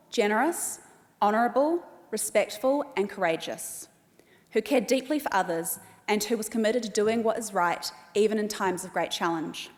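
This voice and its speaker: A woman with a New Zealand accent delivers a formal welcome address in a measured, careful cadence. Her voice sits at an ordinary middle pitch. It carries with a long reverb through a large hall. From Australia. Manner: measured, reads names slowly and deliberately. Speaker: event host. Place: large hall at an evening event.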